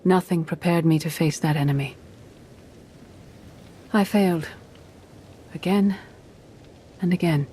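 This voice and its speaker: Game role: actress as weary female Jedi mentor, low mellow voice, grave measured delivery, cryptic air. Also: slight background noise, lightly acted. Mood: solemn. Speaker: video game character voice actor. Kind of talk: scripted dramatic game dialogue.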